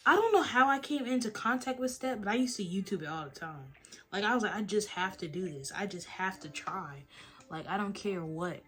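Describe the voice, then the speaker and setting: A young adult woman, chatting casually and telling stories in a late-night Q&A at home. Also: mid-range voice. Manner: chatty, animated storytelling with lots of "like" and "y'all". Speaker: vlogger. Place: at home, face mask on.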